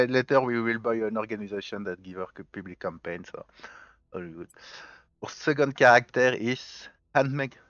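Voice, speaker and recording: Low-voiced man with mixed French-Spanish accent. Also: a bit bright, no room sound, muffled recording. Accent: mixed French, Spanish and Galician. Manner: thinking aloud, explaining step by step. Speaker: gaming channel host. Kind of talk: let's play commentary.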